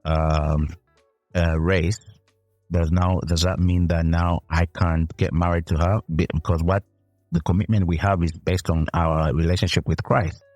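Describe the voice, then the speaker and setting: Deep voiced guy on a church-y podcast, earnest, preachy, dry room sound. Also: level tone, measured pace, darkish tone, dry recording, soft background music. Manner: earnest, preacherly, builds his point. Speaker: podcast co-host. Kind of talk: casual chat on faith.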